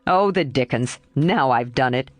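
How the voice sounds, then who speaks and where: A woman reading aloud and voicing a mischievous, boyishly charming young man, lowering her voice in a playful, sheepish way. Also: animated, unhurried, no echo.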